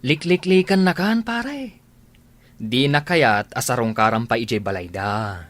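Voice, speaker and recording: A man's voice: mid-range voice, lively intonation, a little slow, a bit bright. A man playing a frank, concerned young construction workmate in a radio drama, advising in a matter-of-fact way.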